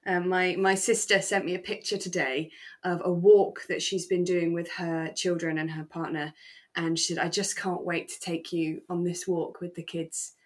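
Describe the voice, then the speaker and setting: A woman co-hosting a podcast, chatting casually in a reflective, gently hedging and agreeable way. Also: on the low side, flat delivery, moderate pace. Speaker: podcast co-host. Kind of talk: relaxed casual chat about a radio drama.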